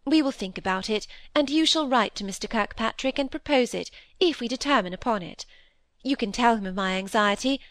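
A woman's voice: fairly high pitch, fairly quick, a bit bright, dry recording, natural voice. A woman voicing an affected, fussy middle-aged stepmother who pities herself, in an audiobook reading. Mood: gushing. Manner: affected, gushing, fluttery.

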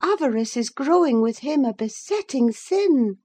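Storybook role: scornful young gentlewoman, acted by a woman, high-pitched, animated, emphatic and commanding. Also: measured pace.

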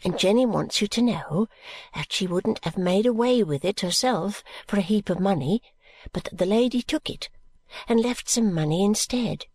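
An earnest, deferential young maid, put on by a woman reading aloud. She speaks softly and low, with plain courtesy. The sound is close and dry. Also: expressive, normal speed, no echo. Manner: soft, low and polite.

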